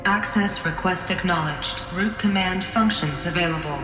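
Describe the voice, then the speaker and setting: A woman voicing an impassive computer security system in a video game, giving flat, formal, clipped announcements. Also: fairly low pitch, moderate pace, natural voice. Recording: echoey hall reverb, music underneath.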